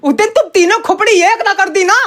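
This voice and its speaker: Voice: very high-pitched, brisk, background noise. A performer voicing an irritable male maths teacher in a comic cartoon, grumbling sarcastically in colloquial speech. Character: exasperated male maths tutor teaching an online class.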